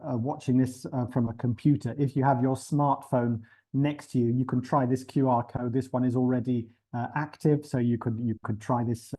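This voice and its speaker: A man giving an unscripted webinar presentation for teachers, pausing often with fillers and restarting his sentences. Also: mid-range voice, mellow. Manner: hesitant, many fillers and restarts.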